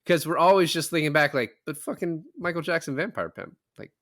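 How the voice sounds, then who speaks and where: A man's voice: fairly high pitch, lively intonation, brisk, fairly bright tone, no room sound, studio quality. A man co-hosting a casual film chat, blunt and joking, with some swearing. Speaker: podcast co-host.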